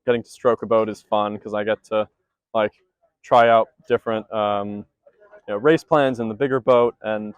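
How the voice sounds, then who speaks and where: A man's voice: on the low side, fairly even intonation, normal speed, clean tone, no room sound. A teenage male rower giving a casual post-race interview, talking loosely with many fillers and restarts. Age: teens. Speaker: junior rower.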